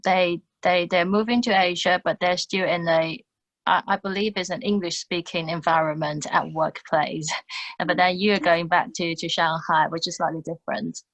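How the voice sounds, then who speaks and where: Woman running a panel, lowish voice, polite, dry close sound. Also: steady tone, normal speed, a bit bright, no echo, poor audio. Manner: polite, inviting. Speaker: panel moderator. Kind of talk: moderating a conference panel.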